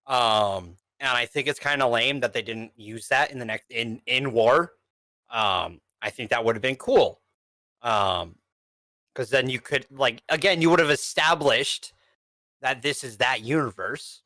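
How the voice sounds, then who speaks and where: A man with a mid-pitched, forceful bright voice, recorded close and dry. He explains points haltingly as a co-host in a casual review chat. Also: dry recording.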